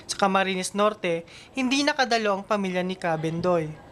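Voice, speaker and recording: A woman's voice: mid-range voice, expressive, normal speed, very noisy. A woman reading scripted newsreel narration. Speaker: news narrator.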